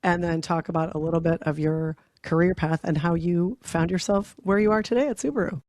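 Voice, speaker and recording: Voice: mid-range voice, fairly even intonation, brisk, a little mellow, no room sound, clean studio sound. A podcast host welcoming a guest and asking questions in a casual, upbeat manner. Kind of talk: casual interview hosting.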